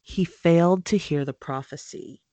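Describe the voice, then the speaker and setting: A woman reading aloud and voicing a cold, controlled older male wizard who speaks flatly and quietly. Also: lowish voice, dry recording, lo-fi sound, voice pushed down, close to an everyday voice. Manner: flat, quiet, measured delivery.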